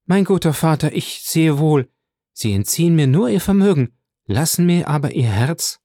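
Male narrator as tender, tearful young heiress, pitched up, pleading, dry audiobook sound. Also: animated, moderate pace, darkish tone, no room sound, lightly acted. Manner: raised, emotional pleading tone. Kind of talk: audiobook character voice.

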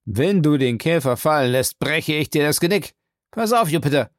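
A reader voicing an excitable, obsessive man who calls out commands in an urgent voice. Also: medium pitch, moderate pace, no echo, studio quality, lightly acted. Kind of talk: audiobook character voice.

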